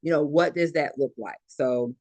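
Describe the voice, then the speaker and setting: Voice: medium pitch, moderate pace, a little mellow, no echo. A nursing instructor talks through a recorded lecture in a loose, conversational way. Points come back again and again, padded with plenty of fillers and restarts. The sound is close and dry, with no room around it.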